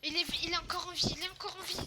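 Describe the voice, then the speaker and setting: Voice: very high voice, fairly even intonation, rapid-fire. A female speaker improvising role-play dialogue during a game, stammering and bossily feeding the others their lines. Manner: stammering, repeating words, bossy prompting. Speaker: player leading a role-play skit. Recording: noisy game audio with clicks.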